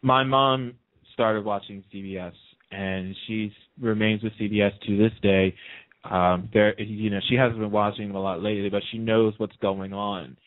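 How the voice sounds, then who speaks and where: Low-voiced man on a tinny phone line. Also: fairly even intonation, moderate pace, dry recording. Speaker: interview guest. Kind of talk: casual radio interview chat, reminiscing.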